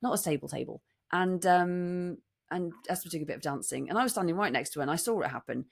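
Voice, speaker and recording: A woman's voice: fairly low pitch, fast, gravelly, husky. A British woman co-hosting a podcast, telling anecdotes casually with wry, self-deprecating humour. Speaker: podcast co-host.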